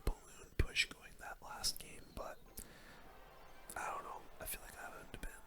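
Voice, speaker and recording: A video game streamer giving casual ASMR gameplay commentary in a soft, breathy whisper, with relaxed gamer slang. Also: moderate pace. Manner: casual gamer slang, murmured reactions to play.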